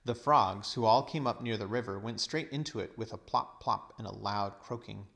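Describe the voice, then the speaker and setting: A man reading a storybook aloud as narrator, with expressive, deliberate phrasing. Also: fairly low pitch, vocal fry.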